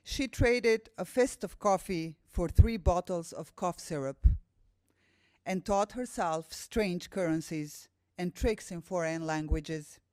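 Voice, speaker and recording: A woman's voice: fairly low pitch, takes it slowly, mellow, dry recording. A woman with an Albanian accent, a native Albanian speaker, giving a public talk and poetry reading as a guest poet. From Kosovo. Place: room with a live audience.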